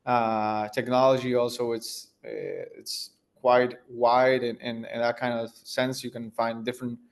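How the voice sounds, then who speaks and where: A man's voice: medium pitch, level tone, measured pace. A man, answering interview questions as a tech founder in a thoughtful way, searching for words as he goes. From Argentina. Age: 30s.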